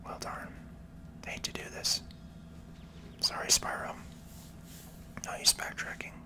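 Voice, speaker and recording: Soft whispering ASMR gamer. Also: a little slow. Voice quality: breathy, unvoiced whisper. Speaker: video game player and commentator. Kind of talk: ASMR gaming commentary.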